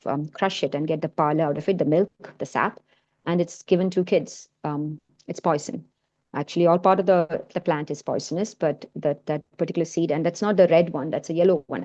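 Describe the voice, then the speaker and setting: Malayali woman w/ indian-american accent, low mellow voice, lots of ums, dull lo-fi call audio. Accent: mixed Indian and American. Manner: hesitant, many fillers and restarts. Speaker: filmmaker presenting her work. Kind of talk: informal talk in an online session.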